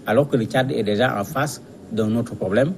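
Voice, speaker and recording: A man with a mid-pitched voice gives explanatory commentary as a studio guest. He lays out his points didactically, often repeating a word while he finds the next phrase. There is faint noise behind him. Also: normal speed, darkish tone. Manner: didactic, repeats words as they search for phrasing. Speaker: guest analyst. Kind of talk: explanatory commentary in a TV news interview.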